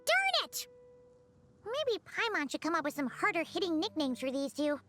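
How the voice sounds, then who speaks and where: A woman voicing a small, cheeky, excitable fairy girl in an anime video game, squeaky, childlike and exclamatory. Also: normal speed, faint music behind, full character voice. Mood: teasing, exasperated. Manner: refers to self in third person, exclamatory.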